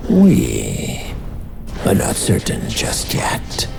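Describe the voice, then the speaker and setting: A sly old ghoul leader speaks at a crawl in an extremely low voice that lurches between guttural growl and breathy hiss. It taunts its prey with menace in a dark fantasy radio play, over music. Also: male voice, huge pitch swings, full character voice. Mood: menacing, taunting. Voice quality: guttural, gravelly, breathy hissing whisper. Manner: slow, taunting, drawn-out delivery. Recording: music under the voice.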